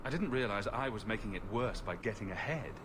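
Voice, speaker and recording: A man's voice: fairly low pitch, animated, fairly quick, roomy sound, background noise, close to an everyday voice. An actor playing a wry, easygoing male adventurer hero in a video game, speaking in a dry, flippant way.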